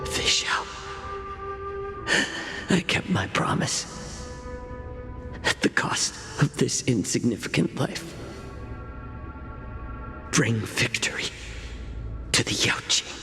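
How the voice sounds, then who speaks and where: A composed, self-sacrificing healer in a dramatic game cutscene. He speaks slowly and deliberately with a creaky, gravelly edge, set in a long hall reverb. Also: mid-range voice, big pitch swings, sharp tone, faint music behind, heavily acted. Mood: fading, solemn. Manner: slow, deliberate, measured, theatrical delivery. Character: calm, self-sacrificing male healer hiding a deadly poison.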